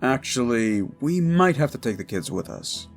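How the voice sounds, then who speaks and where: A man's voice: medium pitch, big pitch swings, normal speed, soft background music. A man playing an earnest, devout ghost hunter in an audio drama, conversational and a little rambling. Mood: cautioning. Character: earnest, devout male ghost hunter with folk-religious methods.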